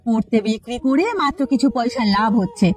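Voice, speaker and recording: A woman's voice: a little high, brisk, soft background music, close to an everyday voice. A woman playing a practical, grumbling village wife in cartoon dialogue. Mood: grumbling, annoyed.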